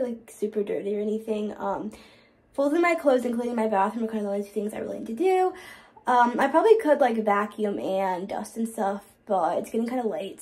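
A young woman chats casually as she films herself at home, upbeat and full of fillers. Her voice sits high and she talks quickly, recorded on a nearby phone in her bedroom. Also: a little mellow. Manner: chatty, filler-heavy, upbeat. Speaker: vlogger. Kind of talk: casual cleaning vlog chat.

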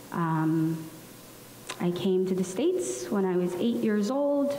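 Slow-speaking woman in an echoey hall. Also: medium pitch. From Somalia. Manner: slow, many ums, soft laughs. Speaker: panelist. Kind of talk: personal storytelling at a panel. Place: large room at a public panel event. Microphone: handheld mic. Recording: echoey hall with faint hiss.

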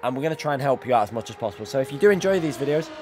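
A man's voice: medium pitch, animated, rapid-fire, a little mellow, a little hiss or hum. A man with a cold who is losing his voice, explaining things step by step as host of a racing game tutorial. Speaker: sim racing YouTuber, host. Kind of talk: tutorial commentary over racing game footage.

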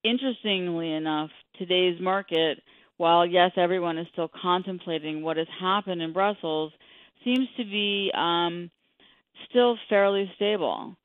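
A woman's voice: on the low side, measured pace, dry recording. Middle-aged woman on a thin phone line. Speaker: guest mortgage expert. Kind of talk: radio interview, explanatory talk.

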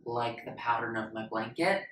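A woman in her twenties giving an expressive reading from a book. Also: rumbling low, normal speed. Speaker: reader. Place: plain-walled room indoors. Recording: echoey room with background noise.

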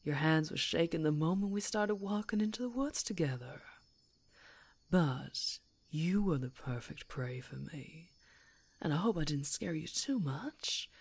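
A woman playing a female werewolf in an intimate ASMR roleplay, predatory yet tender, coaxing in a breathy voice that often drops to whispers. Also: sits low, expressive, a little slow, a little mellow, heavily acted. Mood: soothing, tender.

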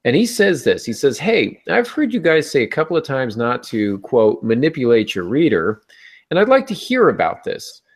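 A man's voice: mid-range voice, huge pitch swings, normal speed. A middle-aged American man hosting a podcast and explaining things conversationally, speaking from a small room at home on a video call. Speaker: podcast host and author.

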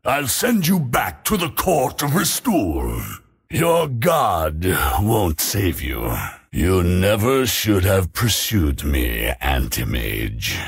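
An actor playing an arrogant, bloodthirsty demonic male sorcerer in a video game, with a slow, threatening, boastful delivery. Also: heavily acted. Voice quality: deep gravelly menacing growl.

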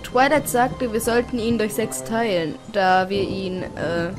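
A woman's voice: mid-range voice, unhurried, loud music behind the voice, lightly acted. A woman playing a young, hesitant cartoon pony in a fan audio drama.

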